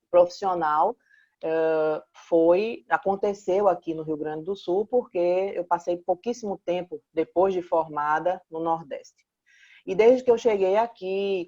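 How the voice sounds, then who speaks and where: A woman in her forties with a Pernambuco accent, a clinical psychologist answering interview questions in a measured, reflective way. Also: fairly low pitch, a little mellow, dull audio. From Pernambuco, Brazil.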